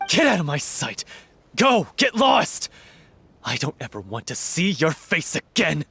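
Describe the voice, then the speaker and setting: A hot-tempered lovesick actor. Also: male voice, fairly high pitch, wildly swooping pitch, creaky, slight background noise, heavily acted. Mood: bitter. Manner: intense, earnest, quick to shout. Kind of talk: video game voice acting.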